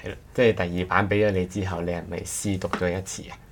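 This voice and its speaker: Low-voiced man chatting on a podcast. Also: little pitch movement, quick pace, slight background noise. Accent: Cantonese. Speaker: podcast co-host. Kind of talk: casual anecdotal chat.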